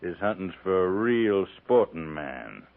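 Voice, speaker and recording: Brash, needling buffalo hunter, tinny radio. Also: male voice, low-pitched, big pitch swings, unhurried, vocal fry, full character voice. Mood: defiant needling. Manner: folksy western phrasing, needling, mocking. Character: brash, sardonic, cocksure frontier buffalo hunter. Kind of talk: 1950s radio western acting. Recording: tinny, band-limited 1950s radio recording.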